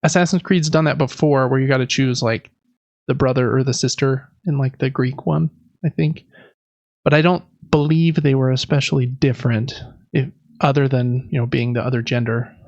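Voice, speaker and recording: A man's voice: mid-range voice, fairly even intonation, normal speed, a little mellow, dry recording, lo-fi sound. A man hosting a podcast, chatting casually and informally through gaming news. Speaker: podcast host. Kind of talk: casual video game news talk.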